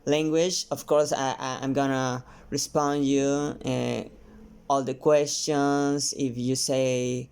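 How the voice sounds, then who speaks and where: A painter from Mexico with a Mexican Spanish accent, his first language Spanish, talking casually and haltingly to camera with many restarts. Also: medium pitch, flat delivery, creaky, a little mellow, clear, resonant tone. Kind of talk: casual vlog talk while painting.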